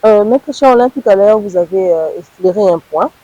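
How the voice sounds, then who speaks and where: A sports show interviewer poses questions in a plain, slightly hesitant way. The voice is mellow and mid-pitched, recorded dry and close. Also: normal speed, dry recording, dull audio.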